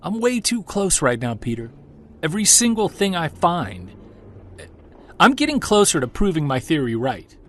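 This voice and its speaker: A man's voice: on the high side, big pitch swings, normal speed, a little hiss or hum. An actor playing a stubborn, driven Bigfoot hunter talking on the phone while he drives. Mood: defiant. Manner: defensive, restless. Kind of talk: audio drama acting. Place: driving a car.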